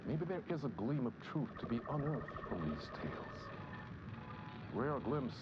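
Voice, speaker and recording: An actor playing a mysterious, portentous host who introduces strange tales on a TV anthology within a game. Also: male voice, medium pitch, very animated, unhurried. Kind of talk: portentous vintage TV anthology narration. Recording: muffled lo-fi TV sound, loud noise.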